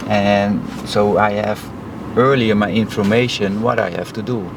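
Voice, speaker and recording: A man with a Dutch accent, a driving instructor speaking in a casual interview, halting and simple with lots of fillers. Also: on the low side, fairly even intonation, creaky. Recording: noisy background hum.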